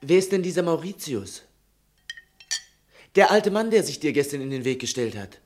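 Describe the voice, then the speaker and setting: An actor playing an earnest, inquisitive schoolboy in a German radio play, probing in an eager, halting way. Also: male voice, fairly high pitch, big pitch swings, darkish tone. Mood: urgent, curious. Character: inquisitive, earnest schoolboy son, persistent and sensitive.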